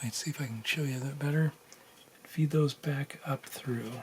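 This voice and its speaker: A man talks through a practical task in an informal, think-aloud way, like a casual tutorial host. His voice sits at a middle pitch. It is recorded indoors at a workbench, with some background room noise. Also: fairly even intonation, moderate pace, a little mellow. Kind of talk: casual hands-on soldering tutorial narration.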